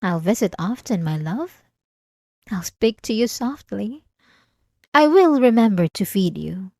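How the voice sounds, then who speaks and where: Woman doing an obsessed old vampire lady, asmr roleplay, slow and intimate, possessive, super dry recording. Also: mid-range voice, animated, vocal fry, dark tone, dry recording. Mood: sweetly possessive. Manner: slow, intimate, deliberate, dramatic pauses. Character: obsessive, possessive, centuries-old female vampire, menacingly tender. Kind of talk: ASMR roleplay, dramatic monologue.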